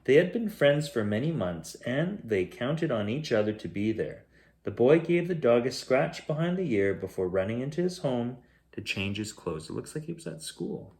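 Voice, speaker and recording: Man reading a bedtime story, lively intonation. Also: mid-range voice, moderate pace, gravelly. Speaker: bedtime story reader, host. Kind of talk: reading a children's picture book aloud.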